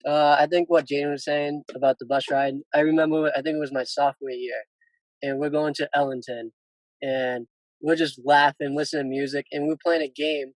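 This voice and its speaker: A man's voice: on the high side, flat delivery, fairly quick, no echo, poor audio. A teenage male student athlete casually telling anecdotes as an interview guest.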